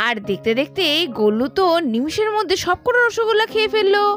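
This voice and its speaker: An extremely high, lively storytelling voice narrating a children's puppet tale at a quick pace, with music playing behind it. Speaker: storyteller voicing puppet characters.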